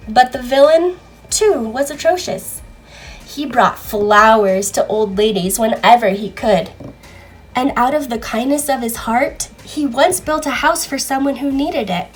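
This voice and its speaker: A woman reading a children's book aloud in an expressive, deliberate, theatrical storytelling manner. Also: on the high side, rough recording.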